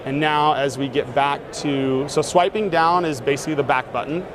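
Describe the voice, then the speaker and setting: A man casually demonstrating a gadget in an interview, relaxed and full of fillers. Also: fairly high pitch, normal speed, a crowd in the background. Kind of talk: casual demo talk. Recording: noisy outdoor street sound.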